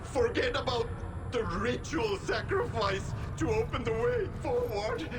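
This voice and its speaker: A terrified film star begging for his life in a game. The voice is very high, slow and faltering, panicked and tearful, with loud noise around it. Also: lively intonation. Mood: desperate pleading. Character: terrified male movie actor pleading for life. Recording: loud ambient noise behind.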